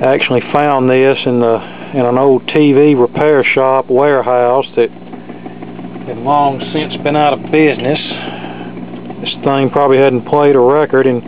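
A man giving a casual, matter-of-fact demonstration as a hobbyist, recorded on a camera microphone in an indoor workshop. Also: medium pitch. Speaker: hobbyist demonstrating restored vintage electronics. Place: cluttered indoor electronics workshop. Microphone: handheld camera microphone. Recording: noisy, thin phone-like camera audio, loud hum.

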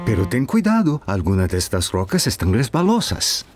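A man voicing a patient, reassuring and playful father in a children's cartoon, explaining things gently and encouragingly. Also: fairly low pitch, huge pitch swings, normal speed, music in the background.

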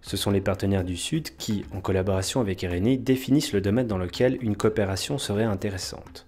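Male narrator, lowish voice, clean even read over faint music. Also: fast talker. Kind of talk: scripted narration.

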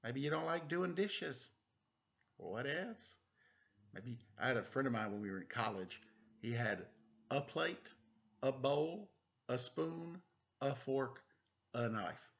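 A man in his forties doing a casual story time, chatting and reading aloud in a playful, expressive way. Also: medium pitch, slow, gravelly, pauses often. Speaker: storyteller reading a picture book to children. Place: living room at home. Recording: dull, lo-fi webcam sound.

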